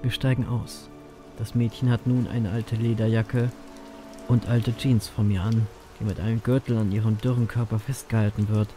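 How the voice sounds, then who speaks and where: An actor playing a brooding, wry male grim reaper who narrates his own story in an audio drama, self-mocking and breathy in places. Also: lowish voice, flat delivery, moderate pace, dark tone, faint music behind, close to an everyday voice. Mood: dry, conversational.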